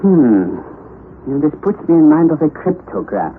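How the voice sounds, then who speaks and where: An actor playing an eccentric, excitable, scholarly German professor of about fifty in a radio drama, theatrical and instructing. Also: male voice, fairly high pitch, a little slow, narrow, tinny audio. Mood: pondering, excited. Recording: noisy, thin, band-limited old radio recording.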